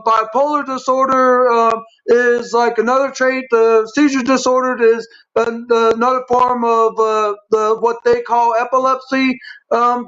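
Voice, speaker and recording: A man with an extremely high, monotone voice talks as a guest on a show. He stutters and speaks haltingly, circling back and running long. The recording is dull and lo-fi. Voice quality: stuttering speech impairment. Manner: halting, repetitive, long-winded. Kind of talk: personal explanation on a talk show. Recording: dull, lo-fi stream audio.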